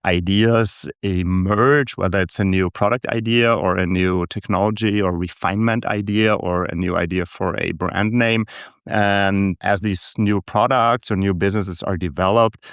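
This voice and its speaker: A man who grew up in Austria, a founder guest speaking in a measured, explanatory way on a video call from his home. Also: deep voice, fairly even intonation, clear, resonant tone, no room sound, clean studio sound. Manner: measured, explanatory, slight hesitations. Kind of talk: casual interview storytelling. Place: home room in Berkeley.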